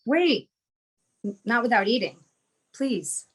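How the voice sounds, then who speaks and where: A woman's voice: on the high side, very animated, slow pace, fairly bright tone, slightly rough, exaggerated voice. A woman playing a kindly, apologetic middle-aged prostitute in a screenplay table read, tentative and polite. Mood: gently insistent.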